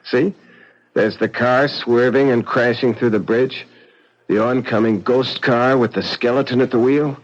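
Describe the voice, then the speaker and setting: An actor playing a sardonic, mocking painter in a vintage radio mystery. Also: male voice, medium pitch, fairly even intonation, a little slow, natural voice. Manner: mocking and sly, chuckling often. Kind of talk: vintage radio drama acting. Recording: dull old radio broadcast.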